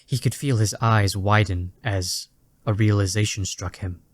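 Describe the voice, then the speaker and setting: A man reading scripted story narration in a deliberate, dramatic way. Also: on the low side, steady tone, no room sound.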